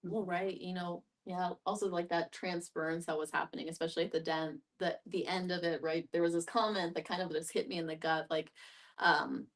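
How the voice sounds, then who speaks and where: A woman's voice: on the low side, fairly even intonation, a little fast. A woman explaining things in a group discussion, conversationally checking for agreement as she goes. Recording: voice picked up from a distance.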